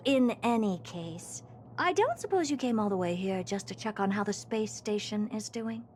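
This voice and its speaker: A woman's voice: medium pitch, expressive, normal speed, a little mellow, a little hiss or hum, close to an everyday voice. An actress playing a haughty, impatient genius woman scientist in a video game, speaking in an imperious, theatrical and dismissive way. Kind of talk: scripted video game voice acting.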